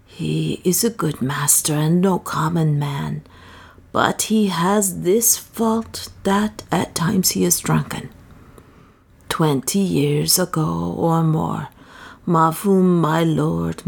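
A woman doing a creaky, whispery old crone. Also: deep voice, darkish tone, full character voice. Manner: archaic, pleading, half-whispered speech. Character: haggard old woman wanderer, starving and pleading. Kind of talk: audiobook character reading.

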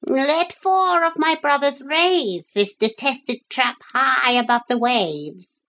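Woman voicing a high, formal, earnest parrot. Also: slow, pushed and bright, heavily acted. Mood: commanding. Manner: formal, pleading, precise. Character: earnest, dignified female parrot in distress.

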